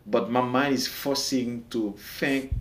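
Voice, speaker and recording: A man's voice: medium pitch, steady tone, slow, reverberant. A man from Haiti with a Haitian accent, a native Creole speaker, talking earnestly as a podcast guest and often repeating words as he searches for them. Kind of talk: casual discussion.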